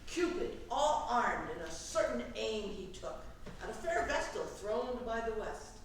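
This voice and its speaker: A woman's voice: fairly high pitch, very animated, unhurried. A woman playing an imperious, scheming fairy king in a declamatory staged reading of Shakespearean verse. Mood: storytelling. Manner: declamatory, theatrical. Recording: echoey room from far off, faint noise.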